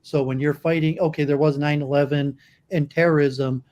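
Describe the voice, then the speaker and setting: A man making a measured, analytical point in a live online discussion. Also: on the high side, steady tone, darkish tone, dull audio. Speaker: panel participant.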